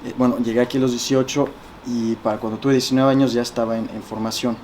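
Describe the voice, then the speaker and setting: Young soldier, hesitant, super monotone, faint hum behind. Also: male voice, medium pitch. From Mexico. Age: young adult. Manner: measured, hesitant, flat delivery. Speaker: soldier, interviewee.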